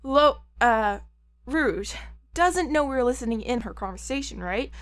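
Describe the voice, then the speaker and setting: A woman voicing a clever young male fox sidekick who thinks aloud in quick, technical remarks. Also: a little high, expressive, no echo. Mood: thoughtful.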